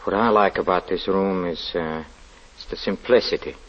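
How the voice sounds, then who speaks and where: An actor playing a stern, religious male lodger who speaks in halting, hesitant phrases in a vintage radio drama. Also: deep voice. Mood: brooding. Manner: halting, hesitant speech with sudden sharp outbursts. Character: stern pious male lodger, brooding and sinister. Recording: dull lo-fi old radio recording.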